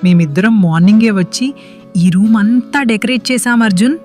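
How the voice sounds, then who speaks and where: A woman playing a teasing, flattering young female friend in a cartoon story. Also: medium pitch, moderate pace, soft background music, close to an everyday voice. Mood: cheerful.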